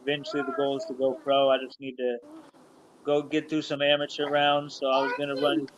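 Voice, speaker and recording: Deep-voiced 30s fighter guy, earnest, muffled hissy call audio. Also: monotone, brisk. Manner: earnest, filler-heavy with "you know" and "honestly". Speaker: guest fighter. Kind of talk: casual interview chat.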